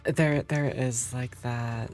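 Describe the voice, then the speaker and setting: A man's voice: mid-range voice, steady tone, takes it slowly, darkish tone. A man live streaming a game and chatting casually with viewers in a hesitant, rambling way. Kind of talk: casual chat with viewers while gaming. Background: game music.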